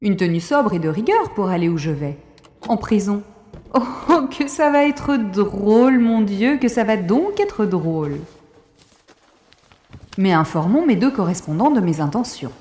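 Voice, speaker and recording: Audio drama: flighty Parisian madame, acted by a woman, imperious, mocking, very lively intonation. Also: medium pitch, measured pace, husky. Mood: gleeful, sly. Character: flighty, mischievous, worldly Parisian mistress of the house.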